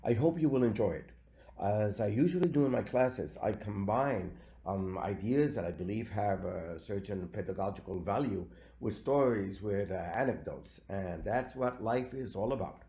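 A man, giving a recorded slide lecture in a measured way with frequent fillers. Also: fairly low pitch, animated, dark tone. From Venezuela.

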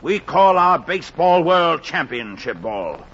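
A principled American baseball boss in an old radio play, lecturing like an orator. He is slow and forceful, with wide swings in pitch, on a dull vintage recording. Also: very animated, full character voice. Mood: stern, impassioned. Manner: emphatic, oratorical. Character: principled, forceful male baseball executive lecturing his players. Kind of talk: 1940s radio drama acting.

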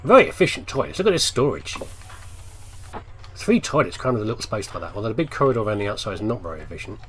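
A man's voice: fairly low pitch, sing-song, fast, fairly bright tone, breathy edge. A man with a British accent giving casual, chatty game commentary, playfully muttering asides as he thinks aloud. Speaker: gamer doing a let's play. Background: video game ambience.

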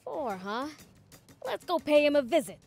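A woman's voice: high-pitched, sing-song, normal speed, vocal fry, a little mellow, faint music behind, full character voice. A woman voicing a small, cocky young demon prince in a video game, brash, impatient and bossy. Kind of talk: video game cutscene acting.

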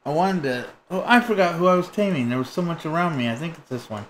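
A man's voice: on the high side, animated, fast talker, a little hiss or hum. Crude joking man streaming a game. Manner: joking, crude, rambling banter. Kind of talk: casual gaming chat.

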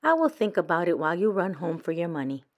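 A woman's voice: fairly low pitch, very animated, fast. Woman reading as sly, bossy young girl badger. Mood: hesitant, scheming. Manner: persuasive, coyly evasive. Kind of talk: children's picture book read-aloud.

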